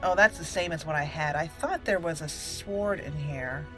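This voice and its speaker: A woman's voice: sits low, moderate pace, music in the background, poor audio. A woman giving casual gameplay commentary, rambling and thinking aloud as she plays. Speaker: gameplay host.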